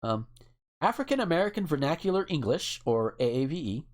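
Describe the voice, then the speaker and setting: A podcast host chatting casually and reading out word lists, haltingly, with swearing and joking asides. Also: medium pitch, very animated.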